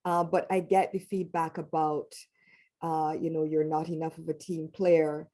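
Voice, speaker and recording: A woman's voice: deep voice, level tone, normal speed, mellow. A woman with a West Indian accent speaking on a panel, talking emphatically and with animation. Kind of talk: panel discussion.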